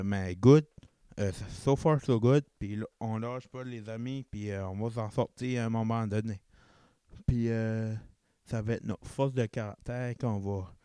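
Rambling Acadian man, slow and low. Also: warm tone, clear, resonant tone, dry recording, studio quality. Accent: Acadian French, Chiac. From New Brunswick, Canada. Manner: rambling, self-correcting, mixes English words into French. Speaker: podcast host. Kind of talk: casual solo podcast chat.

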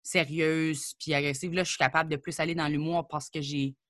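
A young Acadian woman talks in a relaxed, chatty way, jumping into quick asides. Her voice is bright and forward. It sounds dry and close, with almost no room echo. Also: deep voice. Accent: Acadian French. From New Brunswick, Canada. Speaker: comedian and rapper, guest. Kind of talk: casual interview chat.

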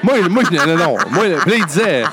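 A man's voice: high voice, animated, quick pace. A young man with a Quebec French accent, co-hosting a podcast and telling stories in a busy bar. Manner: laughing, storytelling. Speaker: podcast co-host. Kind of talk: casual bar chat. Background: loud bar crowd.

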